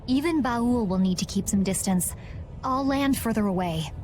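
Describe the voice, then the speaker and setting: A woman's voice: on the high side, animated, moderate pace, darkish tone, close to an everyday voice. A woman playing a composed, bold young pilot in an anime game dub, with music behind. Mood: composed boldness.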